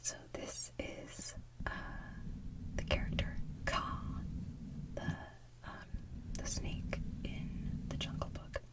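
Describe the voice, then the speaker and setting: Whispering woman, soft ASMR chat. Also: slow pace, pauses often, distant mic, rough recording. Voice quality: breathy whisper. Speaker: ASMR channel host.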